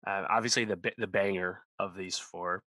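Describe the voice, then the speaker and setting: Low-voiced man reviewing music, rambling. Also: steady tone, moderate pace, bright, forceful tone, dry recording. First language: English speaker, not Korean. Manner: rambling, many fillers and restarts. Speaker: podcast co-host. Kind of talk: casual music review chat.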